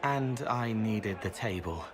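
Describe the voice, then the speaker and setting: Guileless young spirit amid crowd. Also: male voice, on the low side, expressive, takes it slowly. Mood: earnest, innocent. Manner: soft-hearted, earnest, poetic. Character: gentle, guileless young male spirit in human form. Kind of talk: video game voice acting.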